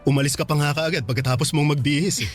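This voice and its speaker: A man's voice: fairly high pitch, fast, close to an everyday voice. Casting: actor as considerate young man with a tender crush, Filipino accent, hesitant radio-drama delivery, faint music behind. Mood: sorry, sincere. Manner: hesitant, polite.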